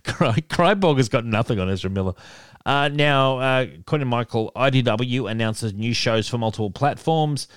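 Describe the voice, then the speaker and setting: A man's voice: medium pitch, normal speed, smooth, no room sound. A man with an openly nasal voice reads listener emails aloud and chuckles often. The sound is dry and close, with no room around it. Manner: laughs often, chuckling between remarks. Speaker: podcast co-host reading listener emails. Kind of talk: casual chat.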